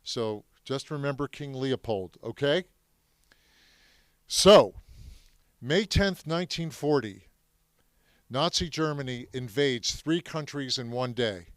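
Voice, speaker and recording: A man whose first language is French, telling his family's Holocaust story to a live audience in a lively way, often asking the crowd questions. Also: mid-range voice, takes it slowly, pauses often, dry recording, studio quality.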